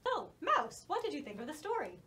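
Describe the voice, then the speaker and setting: High-voiced, animated woman storyteller. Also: brisk. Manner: animated, reassuring. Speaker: storyteller and puppet show host. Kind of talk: children's story narration.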